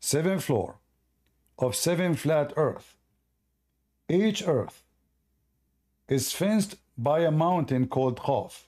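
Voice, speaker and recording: A man's voice: a little high, warm tone. A man with an Arabic accent, hosting a live debate stream, talking in a blunt, dismissive, argumentative way. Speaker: debate livestream host.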